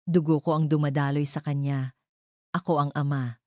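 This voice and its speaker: A woman's voice: deep voice, fairly even intonation, normal speed, darkish tone, no echo, studio quality, lightly acted. A woman voicing a wronged father in an audio drama, firm, controlled and emphatic in his defiance.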